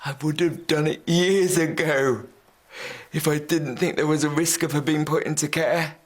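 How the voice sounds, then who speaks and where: An actor playing a bitter, despairing father, grave and deliberate, in a serious drama. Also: fairly high pitch, fairly even intonation, natural voice. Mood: desperate, resolute.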